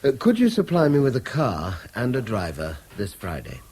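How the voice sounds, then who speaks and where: An actor playing a weary, haunted man in a radio drama, with an English accent and a grave, halting manner. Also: medium pitch, huge pitch swings, a little mellow, slightly rough. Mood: polite, strained. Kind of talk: 1970s British radio drama acting.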